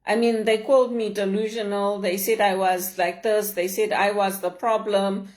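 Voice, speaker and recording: A middle-aged woman from Zimbabwe, speaking in an earnest, measured and heartfelt way in a personal reflective monologue. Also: medium pitch, level tone, a little mellow. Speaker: leadership vlogger.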